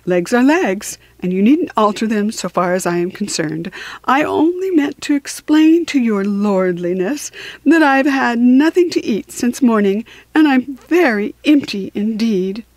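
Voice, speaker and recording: A woman's voice: on the high side, animated, unhurried, a little mellow, dry recording. A woman voicing a tired, aggrieved old male kangaroo in a storybook reading, whining and indignant. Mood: meekly apologetic.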